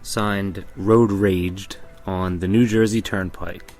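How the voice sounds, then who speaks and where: A man with a low voice reads scripted spoken-word material. His delivery is blunt and deadpan, with light music playing behind him. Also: slow.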